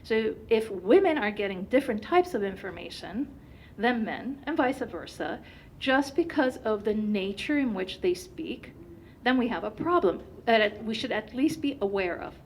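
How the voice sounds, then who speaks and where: A woman whose first language is Turkish, giving a conference presentation in an animated way with many fillers. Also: medium pitch, normal speed, a little hiss or hum, rough recording.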